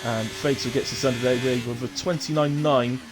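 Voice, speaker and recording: Man, mid-pitched voice, chesty, sim racing commentator, informal live stream delivery, noisy background. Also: steady tone, moderate pace. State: coughing, chest infection. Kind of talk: live race commentary with casual chat.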